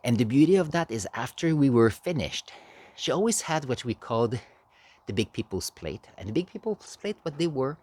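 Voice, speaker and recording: A man giving a storytelling speech as an amateur speaker, halting, with dramatic pauses and emphasis. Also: medium pitch, big pitch swings, a little mellow. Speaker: amateur public speaker practicing a contest speech. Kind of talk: animated storytelling speech, tall tale.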